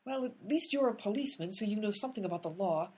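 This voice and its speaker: A woman's voice: mid-range voice, lively intonation, fast, darkish tone, husky. A woman playing an exasperated, blunt, tough-talking detective in a comedic radio play.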